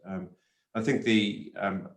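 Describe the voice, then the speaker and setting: A man's voice: lowish voice, fairly even intonation, slow pace. A man from Zimbabwe speaking as a panelist, hesitant and searching for his phrasing. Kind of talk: thoughtful panel discussion. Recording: echoey room on webinar connection.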